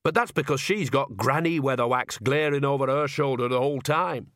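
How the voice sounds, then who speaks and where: A man voicing a folksy old country witch in a storybook, chatty, blunt and emphatic, pitched a little up. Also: brisk, a bit bright, no echo, clean studio sound, lightly acted. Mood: gossipy, frank.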